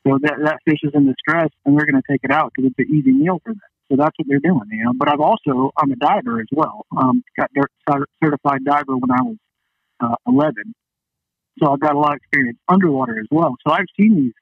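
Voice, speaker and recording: A man's voice: on the high side, sing-song, fast, creaky, husky, no room sound. A man chatting casually as a guest fishing captain over the phone, folksy and full of "you know". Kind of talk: casual storytelling interview chat. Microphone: phone call. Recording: dull band-limited phone line.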